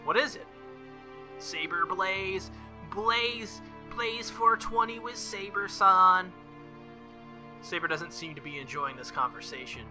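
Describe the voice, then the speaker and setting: A man's voice: high-pitched, takes it slowly, over music. A man streaming a visual novel, reading its dialogue aloud and adding playful, jokey commentary, sometimes stumbling and rereading. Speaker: video game streamer.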